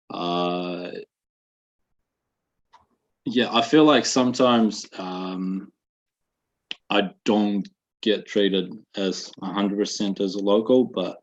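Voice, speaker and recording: A man in his twenties from Russia, with a Russian accent softened by New Zealand, answering interview questions in a halting way with many fillers, on dull lo-fi webinar audio. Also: lowish voice. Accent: Russian with a slight New Zealand accent. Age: 20s. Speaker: guest.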